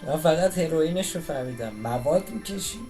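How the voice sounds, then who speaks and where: A man's voice: fairly high pitch, animated, rapid-fire, mellow, soft background music. A man who speaks Persian natively, giving joking, sarcastic casual commentary as he streams a game.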